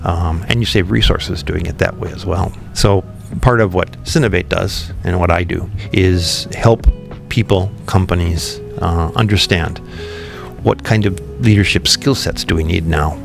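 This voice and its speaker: A man speaking in a very low voice with a gravelly edge, talking through his ideas and pausing on ums as he goes. Clear music plays beneath him for the whole stretch. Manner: thoughtful, many ums and restarts. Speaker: podcast guest, company founder. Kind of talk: relaxed interview conversation.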